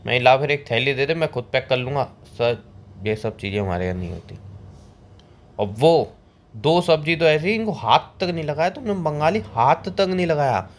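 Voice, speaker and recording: A man's voice: on the high side, lively intonation, normal speed, natural voice. A young man playing a cheeky, broke boyfriend in a phone-call drama, argumentative and colloquial as he justifies himself. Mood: exasperated storytelling. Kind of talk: scripted comic phone-call drama between a couple. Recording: clear background ambient noise.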